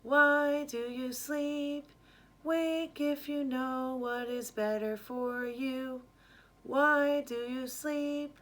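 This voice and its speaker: Storybook Ramadan drummer, performed by a woman: high, bright, drawn-out devotional chant, urging people awake. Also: fairly even intonation, full character voice. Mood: devout, gently urging. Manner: sing-song, drawn-out chanting. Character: Ramadan night drummer chanting devout wake-up calls.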